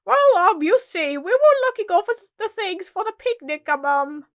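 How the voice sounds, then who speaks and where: Woman doing a high-pitched, flustered palace cook. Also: big pitch swings, normal speed, no echo, clean studio sound. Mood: nervous. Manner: hesitant, flustered. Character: nervous, stammering, apologetic palace cook.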